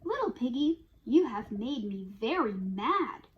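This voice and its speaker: A woman's voice: fairly high pitch, big pitch swings, a little slow, muffled recording. A woman doing a fussing mother pig. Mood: exasperated. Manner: exasperated, emphatic.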